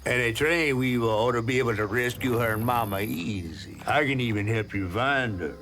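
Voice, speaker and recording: A man's voice: mid-range voice, normal speed, fairly bright tone, a little hiss or hum, lightly acted. A man voicing a fussy, genteel talking creature from a video game, with a folksy, theatrical Southern American accent. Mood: folksy, instructive. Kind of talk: video game character dialogue.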